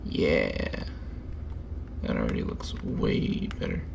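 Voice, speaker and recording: A man's voice: extremely low pitch, sing-song, slow, vocal fry, background noise. A man giving casual gameplay commentary, thinking aloud and muttering to himself in short fragments. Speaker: streamer.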